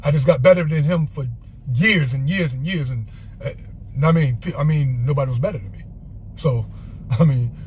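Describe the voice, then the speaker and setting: A man's voice: on the high side, moderate pace, a little mellow, breathy edge. A man casually telling an off-the-cuff story, rambling and repeating himself. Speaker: storyteller vlogger.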